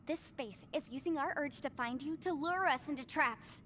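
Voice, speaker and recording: A woman voicing an earnest, urgent young female adventurer in a fantasy video game, speaking crisply and emphatically. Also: high voice, moderate pace, a bit bright, slight background noise. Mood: urgent, explaining.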